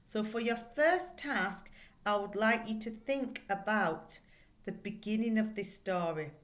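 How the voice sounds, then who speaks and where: A middle-aged woman teaching, reading a children's book aloud in a deliberate, expressive way and putting questions to her listeners. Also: medium pitch. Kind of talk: read-aloud lesson for pupils, reading and explaining a children's book. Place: small plain indoor room. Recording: echoey, roomy indoor recording.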